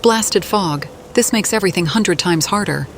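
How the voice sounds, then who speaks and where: A woman's voice: medium pitch, normal speed, slight background noise. A woman giving in-character role-play commentary over a video game, with game ambience behind her. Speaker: gaming video narrator playing in character.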